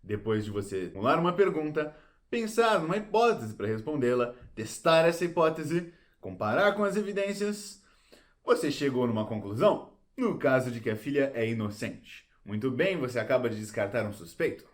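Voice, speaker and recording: A man's voice: mid-range voice, very animated, moderate pace, natural voice. A man playing a patient, encouraging guide who explains detective reasoning in an educational audio drama. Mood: instructive. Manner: explanatory, encouraging, theatrical emphasis.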